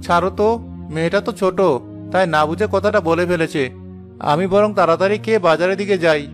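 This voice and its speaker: A man playing a patient, henpecked village husband and gentle father in a cartoon drama, mild, placating and apologetic. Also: sits high, steady tone, fast, soft background music, natural voice. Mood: mild protest. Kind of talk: Bengali cartoon drama acting.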